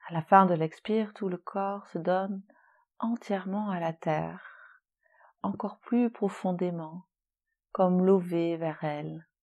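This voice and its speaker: Very slow, low-voiced woman guiding relaxation. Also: fairly even intonation, muffled recording. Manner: soothing, gentle, unhurried with long pauses. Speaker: yoga nidra relaxation guide. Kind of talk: guided relaxation meditation.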